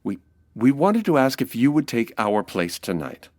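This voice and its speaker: A man's voice: medium pitch, sing-song, moderate pace, no echo, studio quality, natural voice. A man playing a nervous, polite, well-off young groom in a period radio mystery, speaking hesitantly. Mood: anxious.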